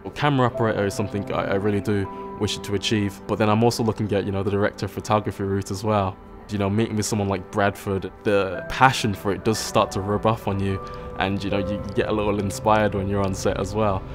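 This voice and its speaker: A man's voice: lowish voice, flat delivery, fast talker, few pauses. Young man speaking over music. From Birmingham, UK. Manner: earnest, enthusiastic. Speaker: camera trainee. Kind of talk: documentary interview. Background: music bed.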